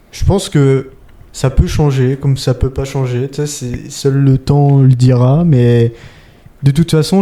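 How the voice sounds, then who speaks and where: A man's voice: medium pitch, warm tone. A young man with a French accent, chatting casually as a podcast guest, full of fillers like "tu sais". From France. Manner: informal, many fillers like "tu sais". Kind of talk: casual chat. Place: living in Quebec.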